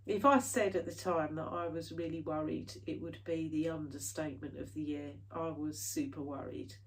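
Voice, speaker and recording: A woman's voice: sits low, fairly even intonation, normal speed, recorded from a distance, slight background noise. Chatty British woman, slightly stuffy. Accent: British English. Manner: chatty, rambling, many fillers and restarts. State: stuffy nose from hay fever. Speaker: cross-stitch vlogger. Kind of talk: casual chat to camera.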